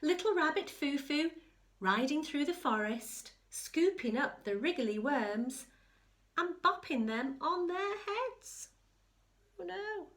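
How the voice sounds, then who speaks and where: A woman reads a children's picture book at a slow, unhurried pace. She moves between sing-song storytelling and softer, hushed remarks on the pictures, like an infant school story reader. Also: high-pitched. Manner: expressive sing-song reading, hushed asides. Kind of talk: children's picture-book read-aloud with commentary.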